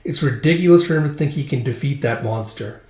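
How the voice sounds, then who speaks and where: A man's voice: mid-range voice, brisk, a little mellow, natural voice. Man as a cold, surly swordsman, echoing room. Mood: dismissive. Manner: blunt, dismissive. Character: cold, surly, scornful male lone swordsman. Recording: roomy echo.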